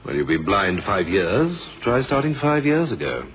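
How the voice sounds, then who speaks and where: Radio drama part: dry, courteous, needling police inspector, expressive intonation, tinny old broadcast. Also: male voice, on the low side, moderate pace. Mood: dry, probing. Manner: dry, understated, questioning. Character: dry, probing, politely barbed male police inspector. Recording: noisy, thin phone-like old radio recording.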